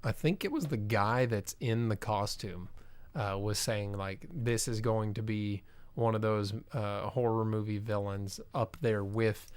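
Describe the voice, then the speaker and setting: A man's voice: fairly low pitch, a little slow, clean tone. A man co-hosting a movie podcast, laid-back and jokey, tossing in comparisons and trailing off mid-thought. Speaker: podcast co-host. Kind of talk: casual movie chat.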